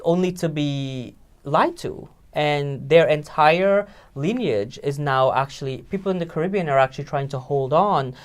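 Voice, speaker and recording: A man's voice: on the high side, normal speed, hardly any pauses. A man who is a guest in an interview. He speaks earnestly and reflectively, with many fillers and tag questions. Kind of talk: casual interview chat.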